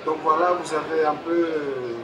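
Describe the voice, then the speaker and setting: A native Greek man with a Greek accent giving a public talk, halting and repeating his phrases. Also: a little high, fairly even intonation. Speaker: photographer and panel speaker. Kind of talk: public talk at a book fair, introducing a debate. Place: busy book fair stand. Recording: echoey, distant mic, crowd noise.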